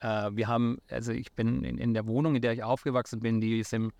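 A man's voice: medium pitch, little pitch movement, fast talker, creaky, darkish tone, no echo, dull audio. Franconian man, hesitant and dry-miked. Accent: Franconian German. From Franconia, near Nuremberg, Germany. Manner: hesitant, many fillers and restarts, mixes in English words. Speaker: startup founder guest. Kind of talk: casual interview talk.

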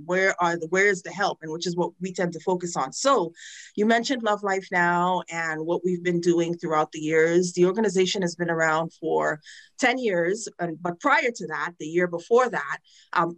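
A woman in her forties from Trinidad, a guest telling her personal story frankly and earnestly, with lively quoted asides. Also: fairly low pitch. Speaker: guest, domestic violence advocate. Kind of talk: personal testimony in a podcast interview.

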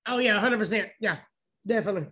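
A man's voice: high voice, quick pace, sharp tone. A man in his late thirties talking casually as a podcast co-host while watching wrestling. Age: late 30s.

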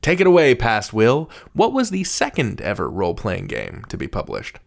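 A man's voice: mid-range voice, wildly swooping pitch, moderate pace, gravelly. A man hosting a podcast, reading scripted announcements and credits. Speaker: podcast host.

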